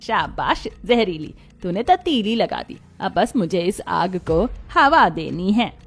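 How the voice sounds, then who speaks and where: A woman's voice: mid-range voice, big pitch swings, slow pace, husky, full character voice. A woman playing a scheming, bossy mother-in-law in a theatrical family story drama. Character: scheming, commanding middle-aged mother-in-law feigning sweetness. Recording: music behind the voice.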